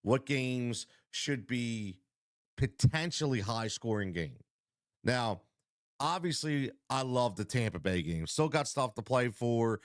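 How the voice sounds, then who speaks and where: A man's voice: mid-range voice, slow, no room sound, studio quality. Congested, hyped-up betting show host man. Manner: energetic, repetitive hype, talks straight to viewers. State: congested from a cold. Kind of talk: live-stream betting talk.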